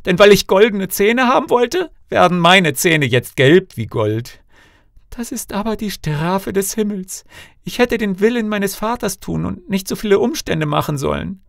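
A man's voice: high-pitched, sing-song, a little slow, gravelly, a bit bright, no room sound, clean studio sound, pitched above the performer's own voice, exaggerated voice. A man voicing a despairing young princess, wailing and blaming herself, in a fairy tale audiobook. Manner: wailing, self-reproaching. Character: despairing young princess lamenting her bitter fate.

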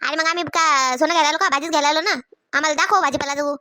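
Cartoon village woman, actress: very high and sharp, bossy, blunt haggler. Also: steady tone, very fast. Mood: demanding. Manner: blunt, demanding, colloquial. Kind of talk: Marathi comedy cartoon dialogue.

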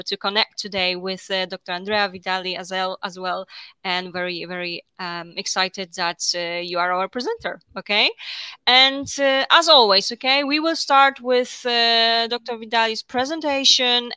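A woman hosts a webinar over a video call. Her voice is bright, crisp and smooth at a middle pitch. The sound is dry and close. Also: no echo. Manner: friendly, with many fillers and "okay"s. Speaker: webinar host. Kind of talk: scripted-sounding webinar hosting.

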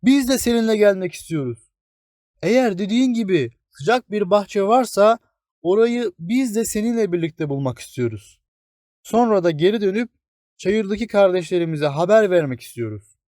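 A man voicing excitable, shivering wild meadow grasses in a children's fairy tale, eager and exclamatory. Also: high voice, moderate pace, dry recording, clean studio sound, voice pushed up.

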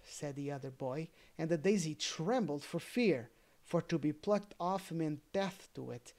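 A storybook narrator reads a fairy tale aloud at a slow, deliberate pace. The delivery is gently expressive and careful, in a mid-range voice, with a casual, homely feel. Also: mid-range voice. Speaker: storybook reader.

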